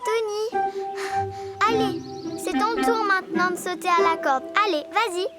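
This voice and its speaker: A woman's voice: very high-pitched, normal speed. A woman voicing a cheerful, imaginative and stubbornly playful young elephant girl in a children's cartoon. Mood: playful, eager. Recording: music under the voice.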